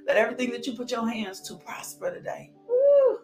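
A woman preacher delivering a fervent sermon, repeating declarations, breaking into high whoops and dropping to hushed prayer. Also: huge pitch swings, a little fast, faint music behind. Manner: exclamatory, with sudden high whoops. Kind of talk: fervent sermon with altar call and blessing.

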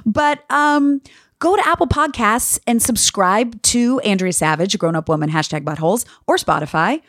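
A woman in her forties hosting a podcast, joking and talking in quick bursts with lots of restarts. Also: medium pitch. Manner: animated, jokey, trailing restarts. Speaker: podcast host. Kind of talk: casual comedic chat.

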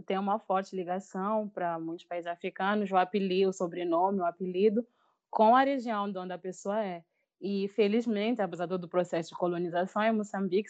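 A woman of twenty-six from Mozambique with a Mozambican accent, telling personal stories in a casual, chatty way as a podcast guest. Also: medium pitch. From Mozambique, raised in Maputo. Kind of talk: casual personal storytelling in an interview. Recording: slightly dull lo-fi audio.

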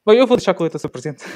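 A man with a European Portuguese accent, hosting a podcast and introducing his guest, talking quickly with hesitant restarts. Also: on the high side, warm tone, breathy edge. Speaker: podcast host. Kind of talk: casual conversation.

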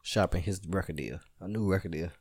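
A man's voice: deep voice, fairly quick, a little mellow, no echo. Laughing man in dry podcast banter. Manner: laughs a lot, loose slangy banter. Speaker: podcast co-host. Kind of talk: casual chat.

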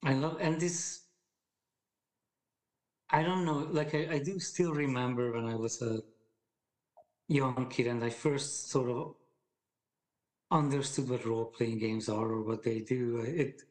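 A man in his forties, speaking as a guest in a relaxed podcast chat. He talks in a thoughtful, halting way, with many fillers and restarts as he gathers his thoughts. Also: mid-range voice. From Hungary. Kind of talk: casual conversation.

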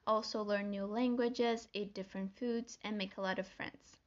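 A woman's voice: medium pitch, steady tone, normal speed, lo-fi sound. A young woman from Brazil with a Brazilian Portuguese accent, hosting her own solo podcast in an earnest, friendly way, as if reading from notes. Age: young adult. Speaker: podcast host. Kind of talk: scripted solo podcast talk.

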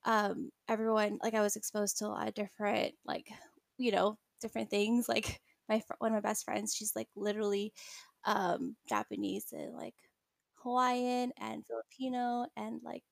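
A young-sounding woman with a slightly high voice and a gravelly fry runs a laid-back podcast chat. She rambles easily and leans on fillers like "like" and "um." Her sound is close and dry. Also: moderate pace, dry recording. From California. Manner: relaxed, rambling with many fillers. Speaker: podcast host. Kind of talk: casual chat.

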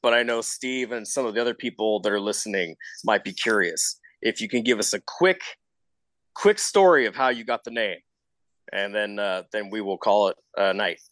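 A man's voice: on the low side, normal speed, fairly bright tone, dry recording, poor audio. An American man hosting a casual interview, informal and encouraging in how he asks questions. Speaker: interviewer, show co-host.

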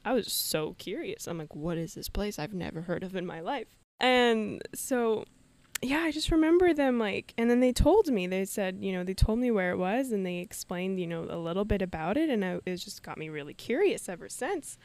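A woman's voice: medium pitch, a little mellow, no room sound. A young woman hosting a solo podcast, telling a personal story in an emotional, meandering way and laughing often. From Canada. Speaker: podcast host.

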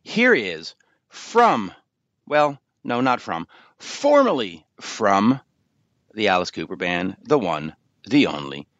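A man with a lowish voice rambles casually through a radio show intro and drifts from one aside to the next. The sound is dry and slightly dull. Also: big pitch swings, slow pace, fairly bright tone, dry recording. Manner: chatty, digressive.

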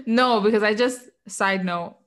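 A woman's voice: mid-range voice, expressive, slow pace. A woman from Puerto Rico co-hosting a podcast in casual chat, chatty and laughing often, with lots of "like.". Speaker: podcast co-host.

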